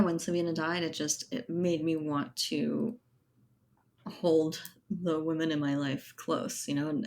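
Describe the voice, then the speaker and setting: A woman with a distinctly low voice talks as an author being interviewed. She is casual and reflective, working out thoughts aloud in loose clauses. Faint noise sits behind her. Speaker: guest author.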